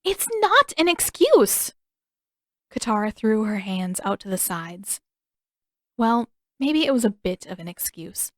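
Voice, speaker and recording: A woman's voice: mid-range voice, a little slow, a little mellow, no room sound, clean studio sound. A woman reading scripted fiction as an audiobook narrator.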